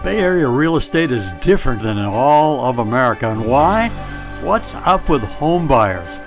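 Lively male intro announcer over music. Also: medium pitch, normal speed.

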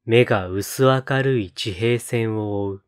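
A man reciting poetry in a solemn style, with measured, deliberate phrasing and pauses between lines. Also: on the low side, clean tone. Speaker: poetry reader on a read-aloud channel.

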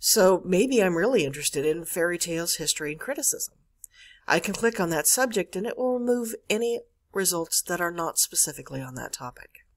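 A woman's voice: lowish voice, animated, fairly quick. A woman narrating an instructional screencast as a librarian, explaining step by step with emphasis on key words. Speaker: college librarian giving a catalog tutorial. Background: screen-recorded voice-over.

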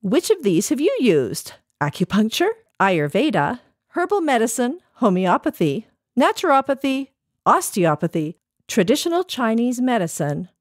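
Lively-pitched woman hosting a podcast. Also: no echo, studio quality. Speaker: podcast segment host, interviewer. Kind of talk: conversational interview with read-out passages.

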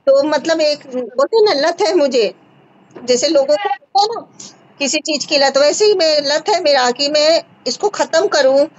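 A woman in her thirties hosting a live video call at home, calmly advising a caller and checking in with short questions. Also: high-pitched, unhurried, highs cut off. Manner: reassuring, repeats short checks like "है ना?". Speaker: host advising a caller on a skin remedy. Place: indoor home room with curtains. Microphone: phone front camera on a video call.

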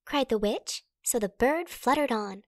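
Animated high-voiced woman reading a storybook. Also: unhurried, no room sound. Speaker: storybook narrator. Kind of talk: expressive children's read-aloud in rhyme.

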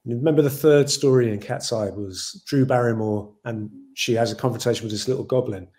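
Man with an English accent, dark tone. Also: mid-range voice, fairly quick. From Ireland. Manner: thoughtful, rambling with many "you know" fillers. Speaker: film director. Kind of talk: video call interview. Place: home room with shelves. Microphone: video call.

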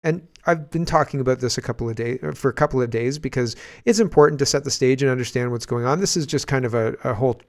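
A man's voice: mid-range voice, fast talker, hardly any pauses. A man hosting a commentary podcast, reacting to clips in a rambling, conversational way and correcting himself often. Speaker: podcast host. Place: indoors, dark home studio. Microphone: large studio mic on boom.

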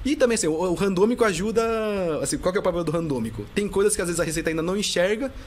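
A man in his thirties speaks as a podcast guest in a rapid, animated stream, full of restarts. His voice sits a bit high for a man, and his words tumble out quickly. A faint noise floor runs under the recording. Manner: fast, animated talk full of restarts and fillers. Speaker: guest, customs tax auditor. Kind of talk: casual podcast interview.

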